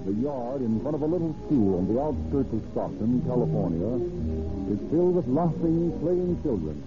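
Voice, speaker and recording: Male 1930s radio announcer, formal scripted read, mid-range pitch, very limited old-broadcast bandwidth. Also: big pitch swings, moderate pace, muffled recording. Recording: thin old radio broadcast recording, music.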